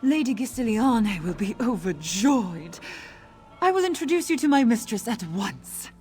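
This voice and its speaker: A fussy, fawning servant in a video game, her voice rising and falling in lively flourishes. She sounds eager to please her mistress and self-important with others. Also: a little high, measured pace, soft background music. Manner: obsequious, fussy. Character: fawning female noble servant.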